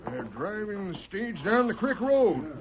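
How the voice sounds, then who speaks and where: An actor playing a stubborn, brusque American Western sheriff in an old-time radio drama, folksy and quick to accuse. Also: male voice, sits high, sing-song. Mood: stubborn, impatient. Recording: muffled vintage radio with crowd noise.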